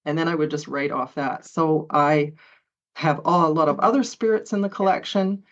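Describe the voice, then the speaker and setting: A woman with a very low-pitched voice talks casually as an interview guest, chatty and quick to laugh, with plenty of ums along the way. The recording sounds dull and lo-fi. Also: steady tone. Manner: chatty, laughs often, hesitant fillers. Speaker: author guest. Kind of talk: conversational interview.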